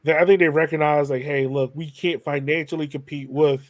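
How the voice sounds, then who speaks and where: A man's voice: fairly high pitch, fairly even intonation, a little fast, no echo. A man hosting a podcast in a casual, informal and enthusiastic conversational manner. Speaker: podcast host. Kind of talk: casual chat.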